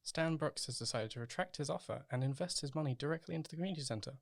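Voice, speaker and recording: A man's voice: on the high side, fast, gravelly, dry recording, clean studio sound. A man playing a polite, composed local radio news presenter, reading a scripted bulletin in an amateur radio play. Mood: composed, upbeat.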